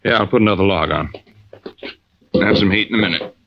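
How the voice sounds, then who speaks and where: A man's voice: low voice, fairly quick, vocal fry. A man acting a worried middle-aged American navy commander and father in an old-time radio drama, on a noisy, dull old recording. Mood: soothing.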